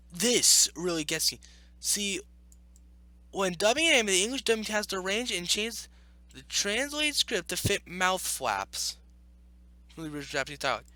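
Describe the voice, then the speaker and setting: A man with a high-pitched, bright voice hosts a casual reaction video. His delivery swings up and down a lot as he argues his points emphatically and circles back to repeat them. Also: no echo. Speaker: video host reacting to an article. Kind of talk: opinionated casual commentary with read-aloud passages.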